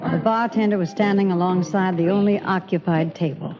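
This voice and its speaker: A woman's voice: on the low side, a little fast, close to an everyday voice. An actress playing a frank, anxious Old West chorus girl who narrates in a 1940s radio drama. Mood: wistful storytelling. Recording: muffled old radio recording with music.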